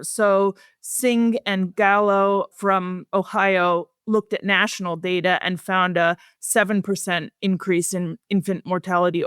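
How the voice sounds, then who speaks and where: Woman, slightly low pitch, measured explanatory delivery, researcher being interviewed, dry close sound. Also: clean tone, no room sound. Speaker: expert guest, researcher.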